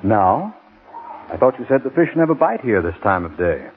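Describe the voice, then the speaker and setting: An actor playing a sober, regretful former district attorney in a 1940s radio drama. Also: male voice, medium pitch, big pitch swings, moderate pace, close to an everyday voice. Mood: puzzled. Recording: tinny, band-limited 1940s radio broadcast.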